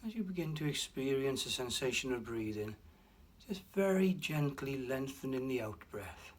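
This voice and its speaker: A man guiding a relaxation meditation, speaking slowly and softly with long pauses and a breathy hush at times. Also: medium pitch, animated. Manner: slow, soft and soothing, with long pauses. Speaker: meditation guide. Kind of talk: guided meditation.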